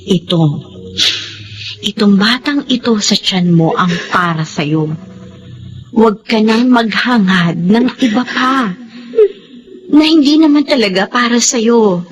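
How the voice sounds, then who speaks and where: A woman's voice: medium pitch, close to an everyday voice, poor audio. An actress playing a protective, frank female confidante who comforts and advises, in a Filipino radio drama with a Filipino accent. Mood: consoling.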